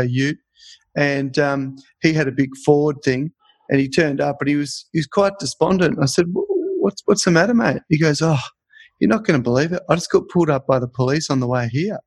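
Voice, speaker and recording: A man's voice: mid-range voice, dry recording. A man hosting an interview, chatting casually and telling a joke story. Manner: relaxed storyteller, tells jokes. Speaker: podcast host.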